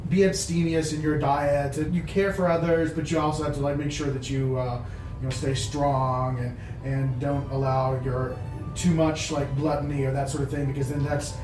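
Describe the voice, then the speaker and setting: A man's voice: a little high, flat delivery, moderate pace, over music. A man talking casually at a tabletop game, explaining things off the cuff. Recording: roomy, distant mic, clear background noise.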